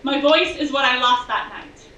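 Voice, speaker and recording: Bright, high young woman reciting poetry. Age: young adult. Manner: measured, intense, rhythmic delivery. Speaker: slam poet. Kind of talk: spoken-word poetry performance. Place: bar stage venue, indoors. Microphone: stage mic on stand, filmed from afar. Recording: distant, dull, echoey room recording.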